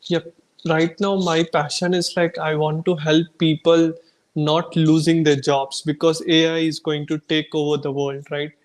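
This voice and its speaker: A man's voice: on the high side, level tone, unhurried, rough recording. A man speaking as a guest on a video call, earnest and listing things off. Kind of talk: casual chat.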